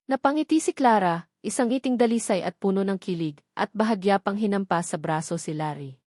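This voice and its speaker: A woman's voice: mid-range voice, darkish tone, dry recording. Woman narrating a dramatic story, dry recording. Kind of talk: dramatic scripted first-person story narration.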